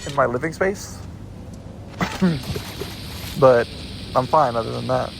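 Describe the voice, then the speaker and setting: A man's voice: medium pitch, slow pace, creaky, noisy background. A man giving casual let's-play commentary, a little stuffed up, rambling and often correcting himself mid-sentence. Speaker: gameplay commentator.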